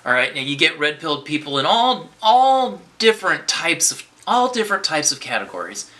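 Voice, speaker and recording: Sharp-toned man ranting to camera. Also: on the high side, sing-song, normal speed. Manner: rambling, with many restarts and profane outbursts. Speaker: vlogger. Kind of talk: unscripted political monologue to camera.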